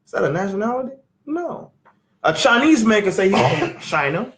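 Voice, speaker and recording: A man, teaching scripture in an animated, questioning way at a family sit-down. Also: sits high. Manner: emphatic, questioning, drawn-out teaching. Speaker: Bible teacher. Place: living room at a family sit-down.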